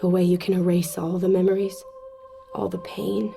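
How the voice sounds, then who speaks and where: A woman's voice: on the low side, little pitch movement, moderate pace, gravelly. A woman playing a cold, controlled, embittered daughter with a clipped, imperious manner in an audio drama. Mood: cold, hurt. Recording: music behind the voice.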